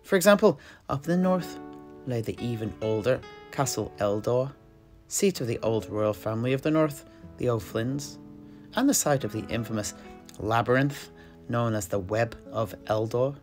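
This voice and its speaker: A man tells fantasy lore in character as a storyteller. His delivery is measured and theatrical, with a conversational hesitancy, and plucked guitar music plays faintly behind him. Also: medium pitch, animated.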